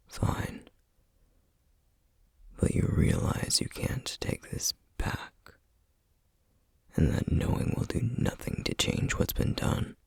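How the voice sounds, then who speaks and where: A man playing a stern, duty-bound flame demon in an ASMR roleplay, speaking in a breathy, husky half-whisper with grave, measured formality. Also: no room sound, clean studio sound, close to an everyday voice. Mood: resigned, grave. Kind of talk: ASMR roleplay, scripted acting.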